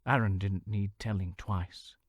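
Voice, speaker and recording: A man narrating a fantasy novel in a measured storytelling manner, sometimes dropping to a hushed near-whisper. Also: on the low side, steady tone, no room sound. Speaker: audiobook narrator.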